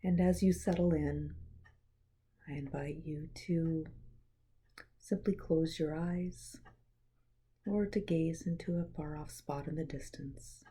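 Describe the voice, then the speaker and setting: A woman leads a guided meditation in a lowish, husky voice. Her tone is very mellow and relaxed. She speaks gently and in a measured way, leaving breathy pauses between phrases. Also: rough recording. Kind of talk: guided meditation, soothing scripted narration.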